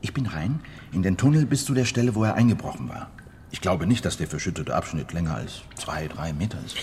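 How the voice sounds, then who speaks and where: Patient criminal insider, deep, animated delivery, assured, radio drama, faint background noise. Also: male voice, brisk. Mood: assured explaining. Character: confident male heist planner, patient insider criminal. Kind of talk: German radio crime drama acting.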